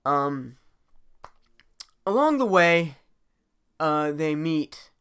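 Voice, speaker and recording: A man casually improvising a story as host, halting and thinking aloud as he goes. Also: on the high side, very animated, fairly bright tone, smooth.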